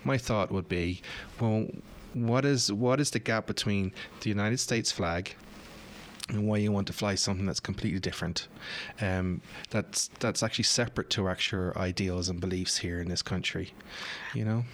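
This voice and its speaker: A man's voice: lowish voice, normal speed, a little hiss or hum. A man with an Irish accent, talking as a guest on a talk show, hesitant and full of fillers and restarts. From Ireland. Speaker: guest commentator.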